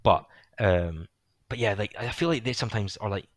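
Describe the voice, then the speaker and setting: Young podcast host, deep, slightly hoarse, loose rambling delivery, dry close sound. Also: male voice, normal speed, no echo. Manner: rambling, filler-heavy, swears casually. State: voice getting hoarse. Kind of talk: casual film chat.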